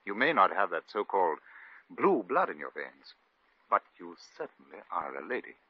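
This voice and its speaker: An actor playing an earnest, lovestruck British lord with a gentlemanly manner in a 1950s radio comedy. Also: male voice, deep voice, sing-song, moderate pace, very noisy. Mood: earnest, pleading. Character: earnest, lovestruck English lord, gallant and flustered. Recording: dull, lo-fi old radio recording.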